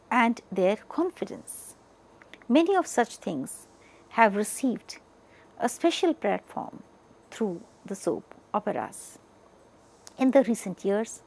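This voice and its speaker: A woman with an Indian accent delivering an educational lecture in a deliberate way, stressing the starts of phrases and letting the ends trail off. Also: on the high side, creaky, a little mellow, rough recording. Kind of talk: educational lecture, partly read from notes.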